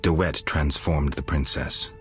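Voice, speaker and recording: Fantasy game hero: blunt mercenary monster slayer, extremely low register, terse and restrained, faint music. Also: male voice, fairly even intonation, unhurried, breathy edge, full character voice. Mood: grave. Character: stoic, blunt male monster-hunter for hire. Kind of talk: video game acting.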